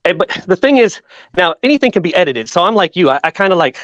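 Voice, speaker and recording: A man's voice: high voice, big pitch swings, fast, a bit bright, dry recording. A man giving casual conversational commentary, often stopping and restarting his phrases.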